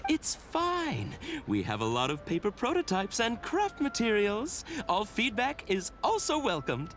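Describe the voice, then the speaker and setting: A woman's voice: medium pitch, wildly swooping pitch, unhurried, slight background noise. A woman playing a welcoming, organised event organiser in an anime-style video game.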